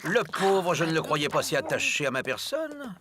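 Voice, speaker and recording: An actor playing a pompous older school inspector in a cartoon, speaking in a florid, formal and effusive way. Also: male voice, on the high side, very animated, normal speed. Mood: courteous, surprised.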